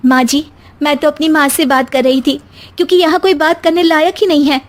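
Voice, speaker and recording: A woman's voice: high-pitched, fairly even intonation, fairly quick, pitched above the performer's own voice, natural voice. A woman voicing an outspoken, educated young daughter-in-law in a cartoon story, emphatic and protesting. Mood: hurt, defiant. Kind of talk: animated Hindi story dialogue.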